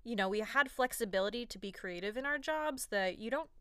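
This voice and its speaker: A woman's voice: on the high side, lively intonation, brisk, fairly bright tone, clear, resonant tone, no echo, clean studio sound. A woman co-hosting a podcast in a bubbly, giggly manner, with a youthful-sounding voice and casual chat. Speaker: podcast co-host.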